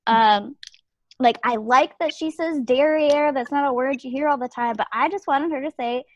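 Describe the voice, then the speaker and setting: Woman in an online group chat. Higher-pitched voice, casual, dry but slightly muffled call audio. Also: moderate pace, no echo. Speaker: participant giving script feedback. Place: indoors on a video call. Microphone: video call microphone.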